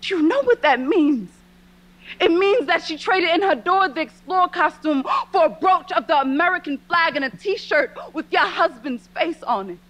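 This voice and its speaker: A young woman delivering spoken word poetry in an impassioned, deliberate, dramatic way. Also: very high-pitched, expressive, a bit bright, poor audio.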